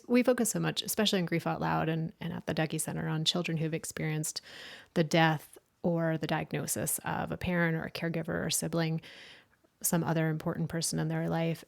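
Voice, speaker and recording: A woman's voice: deep voice, gravelly. A woman hosting a grief podcast, talking thoughtfully in a casual way, often restarting her sentences. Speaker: podcast host. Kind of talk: conversational interview.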